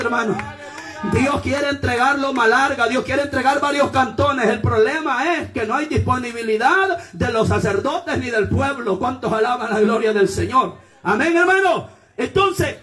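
A man delivers a fervent Pentecostal sermon in a very high, strained-up pitch. He often rises to shouts and punctuates his preaching with praise and questions to the congregation. Music plays behind him.

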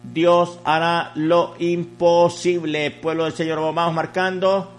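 Higher-voiced man making a repetitive radio appeal. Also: flat delivery, slow pace. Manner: repetitive, chanting urging, reciting phone numbers. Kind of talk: live radio fundraising appeal.

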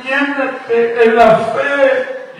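A man's voice: very high voice, little pitch movement, drawn-out words. A man from Guatemala, preaching a radio sermon in a fervent, sing-song cadence. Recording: echoey, distant radio broadcast with faint music.

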